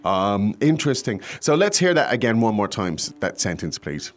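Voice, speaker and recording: A man's voice: on the low side, moderate pace. A man hosting a podcast language lesson, explaining at an easy pace with frequent hesitations. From foreign to China. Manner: relaxed, explanatory, many hesitations. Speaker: language teacher host.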